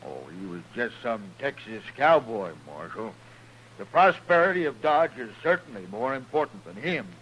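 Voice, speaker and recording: An actor playing a self-important, indignant frontier hotel owner in a 1950s radio western, pompous and insistent. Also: male voice, medium pitch, sing-song, moderate pace, a bit bright. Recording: noisy old radio recording.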